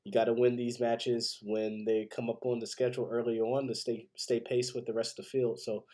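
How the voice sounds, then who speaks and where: A man's voice: medium pitch, level tone, normal speed, mellow. A man with a congested, head-cold voice hosting a solo sports podcast, giving opinionated commentary in a conversational way. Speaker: podcast host. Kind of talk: solo football commentary and predictions.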